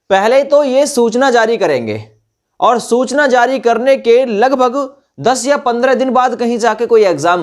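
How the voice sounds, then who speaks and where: High-pitched man explaining informally. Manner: conversational, repeats points for emphasis, uses tag questions. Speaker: exam-news YouTube presenter. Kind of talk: informal explanatory update to students.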